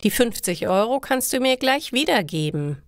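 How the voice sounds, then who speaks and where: A woman's voice: a little high, sing-song, normal speed, dry recording, studio quality, pitched above the performer's own voice. A woman voicing a bossy, scheming male coworker in a storybook for language learners, speaking emphatically and with authority. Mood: exasperated, scornful.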